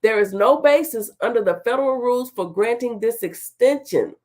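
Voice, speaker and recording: A woman's voice: on the high side, normal speed, a little mellow. A middle-aged woman with a stuffy cold, hosting her own talk show and reading court papers aloud, breaking off for sassy, chatty asides. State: stuffy, under the weather. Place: home studio with virtual background.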